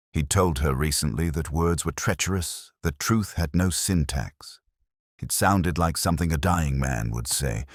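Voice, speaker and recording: An older man reading a literary first-person detective story in a measured, reflective and solemn manner. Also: sits low, dry recording, studio quality. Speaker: narrator.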